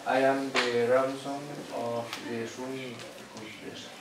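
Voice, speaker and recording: A man's voice: mid-range voice, level tone, close to an everyday voice. Young man as stiff, echoey folk tale puppet hero. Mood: earnest, stiff. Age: teenager or young adult. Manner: halting, stiff reading. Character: brothers and helpers in a Japanese folk tale puppet show. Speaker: student puppeteer. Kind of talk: stilted read-out puppet show dialogue. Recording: echoey room from far off, crowd noise.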